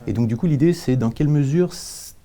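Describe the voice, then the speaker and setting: A man giving explanatory answers as an interview guest, with halting repetitions and illustrative examples. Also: mid-range voice, warm tone. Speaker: company representative, panel guest.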